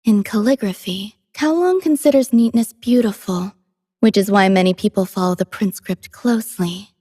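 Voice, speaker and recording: A woman voicing a gentle, devoted young woman in a video game, soft, composed and measured in her delivery. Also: medium pitch, breathy edge, dry recording, studio quality, natural voice. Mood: composed, instructive. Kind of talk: scripted game character voice lines.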